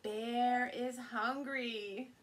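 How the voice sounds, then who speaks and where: A middle-aged woman with a fairly high voice tells a children's story very slowly. She reads expressively, playing up sound words and exclamations for young listeners. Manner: animated, slow, with playful sound words and exclamations. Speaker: storyteller and channel host. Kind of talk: expressive picture-book read-aloud for small children. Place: small furnished room indoors.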